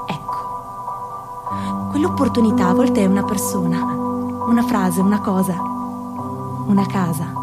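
A woman's voice: medium pitch, a little slow, long pauses, close to an everyday voice. An actress performing a restless young woman who dreams of her own startup, in a rhythmic, poetic theatrical monologue. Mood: dreamy. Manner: rhythmic, incantatory wordplay. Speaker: narrator and lead actor. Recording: music playing behind. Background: music.

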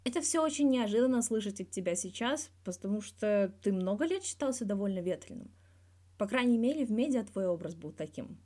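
A persistent male interviewer acted out by a woman reader probes with direct questions. The voice is mellow and lifted in pitch, in the manner of an audiobook dialogue. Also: animated, a little fast, lightly acted. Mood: curious, direct. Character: persistent, probing adult male journalist interviewer.

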